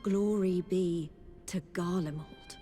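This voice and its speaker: A woman playing a composed, matter-of-fact adventurer in a fantasy game, in a measured and serious manner. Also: lowish voice, fairly even intonation, warm tone. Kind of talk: fantasy video game voice acting. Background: orchestral game music with strings.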